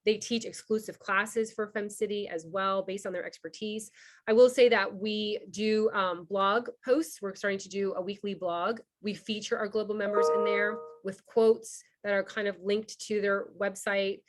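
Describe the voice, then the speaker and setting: Woman, host, casual presentation, fast and chatty, video call. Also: medium pitch, fairly even intonation. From Cuba. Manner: fast, chatty, filler-heavy. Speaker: founder hosting a member orientation.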